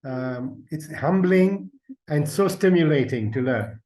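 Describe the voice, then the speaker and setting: A man's voice: a little high, expressive, warm tone. Earnest older man from Uganda presenting. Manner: earnest, grateful, measured storytelling. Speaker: invited speaker, company representative. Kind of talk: webinar presentation.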